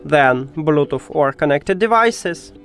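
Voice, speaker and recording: A man narrating a step-by-step tech tutorial in informal, slightly non-native English phrasing, pausing hesitantly as he explains. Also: fairly high pitch, expressive, fairly bright tone, soft background music.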